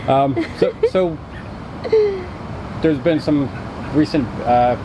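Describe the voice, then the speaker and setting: A man conducts a street interview, asking casual questions that stumble over fillers and restarts. Heavy traffic noise surrounds him outdoors. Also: fairly high pitch. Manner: halting questions with many fillers. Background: passing traffic.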